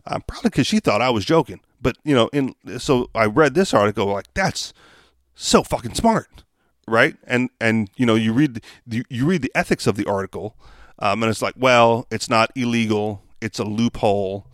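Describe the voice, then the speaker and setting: A man's voice: mid-range voice, animated, dry recording, clean studio sound. A man from Hawaii in his thirties, hosting a podcast, reading a news article and then musing casually, full of uhs and restarts. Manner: reads haltingly with many uhs, then rambles with fillers. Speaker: podcast host.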